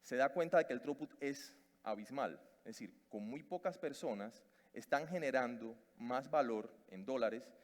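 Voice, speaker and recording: A man's voice: medium pitch, animated, normal speed, few pauses. Cuban man lecturing in a big hall. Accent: Cuban Spanish. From Cuba. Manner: hesitant, many fillers and restarts. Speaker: conference speaker. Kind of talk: conference talk. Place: conference stage in a large hall. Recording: long reverb of a large hall.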